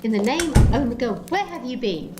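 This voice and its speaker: An imperious Time Lady matriarch , acted by a woman, forever disapproving. Her voice is fairly high for a woman, scolding in grand audio drama style over faint noise. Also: animated, a little fast, natural voice. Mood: indignant, scolding. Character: scolding, haughty Time Lady mother of a noble house.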